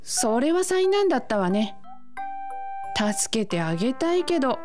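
A man's voice: extremely high pitch, very animated, a little slow, music in the background, voice pushed up, exaggerated voice. A man voicing a sympathetic, motherly woman in a comic radio drama, with theatrical feminine phrasing. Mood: sympathetic, chiding.

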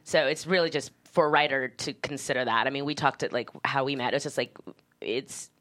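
A woman from Canada speaking as a screenwriter in a casual panel interview, thinking aloud in an informal, halting way. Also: a bass voice, a bit bright. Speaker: screenwriter, guest.

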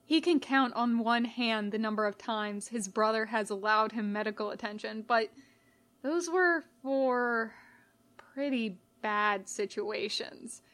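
Slow-paced woman reading fiction aloud. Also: on the high side, fairly bright tone. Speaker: podfic reader. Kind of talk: scripted fiction narration.

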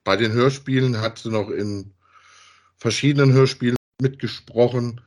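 A man's voice: medium pitch, steady tone, moderate pace. A man with an ordinary mid-range voice. He talks casually as a podcast co-host, in loose colloquial phrases, often adding a short tag to check agreement. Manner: colloquial, often tags sentences with "ja". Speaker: co-host of a podcast about radio plays. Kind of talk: casual conversational chat.

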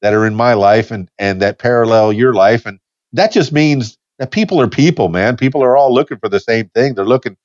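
A man's voice: medium pitch, brisk, a little mellow, dry recording, highs cut off. Easygoing man in his fifties. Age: 50s. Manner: easygoing, rambling with many restarts. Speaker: podcast host. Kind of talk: casual podcast chat.

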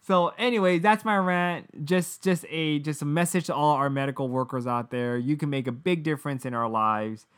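A man's voice: a little high, moderate pace, hardly any pauses, smooth. A man co-hosting a podcast, telling a story in a casual, profane way and acting out the people he quotes. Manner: animated, profane, acts out quoted voices. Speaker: podcast co-host.

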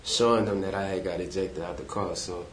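A man's voice: low-pitched, brisk, reverberant, a little hiss or hum. A twenty-year-old man co-hosting a talk, telling a personal story with energy and speaking straight to the listeners. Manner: lively storyteller, addresses listeners directly. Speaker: podcast co-host. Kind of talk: casual storytelling.

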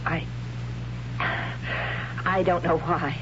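A torn, halting warrior woman. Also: on the low side, fairly even intonation. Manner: halting, hesitant, breaking into tears. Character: troubled young warrior woman torn by forbidden love. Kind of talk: 1970s radio drama acting. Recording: muffled old radio broadcast with hiss.

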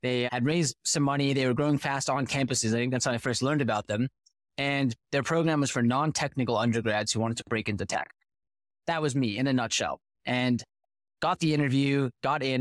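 Man, 30s, founder-guest from Boston, mid-pitched, fluent storyteller, dry close sound. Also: fairly quick, dry recording. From United States (Boston). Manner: fluent, animated storyteller who poses rhetorical questions. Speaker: podcast guest, company founder. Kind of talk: casual interview storytelling.

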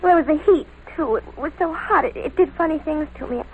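A woman's voice: sits high, fairly quick, narrow, tinny audio, slight background noise, natural voice. A young American woman playing a nervous, lovestruck teenage girl in a 1940s radio drama, hesitant and earnest as she confides. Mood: nervous, confessing. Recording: old radio broadcast with music behind.

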